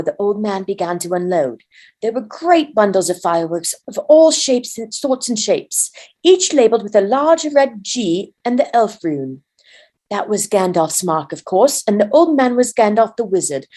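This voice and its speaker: A woman's voice: mid-range voice, warm tone. A woman narrating a storybook as a volunteer reader.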